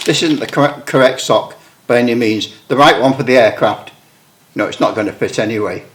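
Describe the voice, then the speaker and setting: A man's voice: mid-range voice, fairly quick, slight background noise. A man giving a casual hands-on demonstration of CB radio equipment, thinking aloud as he fiddles with settings and microphones. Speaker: CB radio hobbyist demonstrating gear. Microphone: headset microphone for part of the test.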